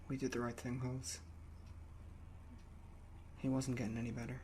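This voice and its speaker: A man acting a grieving young father in a radio play, helpless and protective, speaking in a halting, low-key way. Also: completely flat delivery, mellow, slight background noise, lightly acted. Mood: reassuring, sad.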